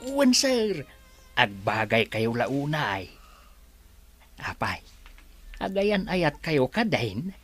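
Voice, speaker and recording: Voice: medium pitch, very animated, slow pace, pauses often, fairly bright tone, slightly rough, faint music behind, exaggerated voice. An actor in a radio drama playing an obliging servant who answers a rich man with "sir". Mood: deferential.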